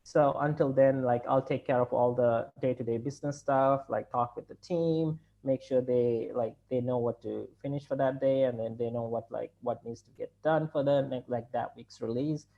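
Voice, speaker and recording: Gravelly Sri Lankan man on a video call. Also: medium pitch, steady tone, normal speed, few pauses. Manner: rambling, many fillers and restarts. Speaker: company CEO guest. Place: home room indoors. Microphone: laptop video call. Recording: dull lo-fi video call audio.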